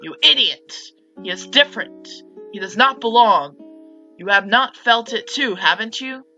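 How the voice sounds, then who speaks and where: A woman's voice: mid-range voice, expressive, unhurried, bright, forceful tone, lo-fi sound, soft background music. A woman voicing a cynical, domineering mother from a visual novel, speaking in a stern, insistent, dramatic way. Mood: goading. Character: cynical, accusing, domineering mother goading her child. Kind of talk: reading visual novel dialogue aloud.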